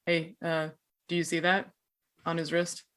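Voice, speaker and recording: A guarded kingdom knight, played by a woman, speaks in a bright, slightly low voice in a tabletop game. The manner is official and challenging. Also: little pitch movement, a little slow.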